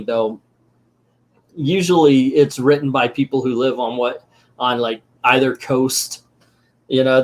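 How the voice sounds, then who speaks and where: A man reviewing a comic book who reads it aloud and breaks off with wry, sarcastic asides in a casual manner. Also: medium pitch.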